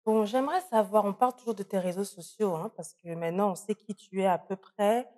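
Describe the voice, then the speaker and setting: A woman's voice: mid-range voice, fairly quick, a little mellow. A woman in a mid-range voice hosts an interview and leads the conversation. She asks her questions playfully and teases her guest. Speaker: interviewer, host.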